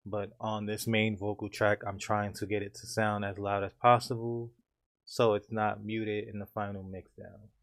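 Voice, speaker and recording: A man with a deep voice calmly walking through his home studio mixing setup in a casual tutorial. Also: moderate pace, creaky. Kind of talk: casual screen-share tutorial.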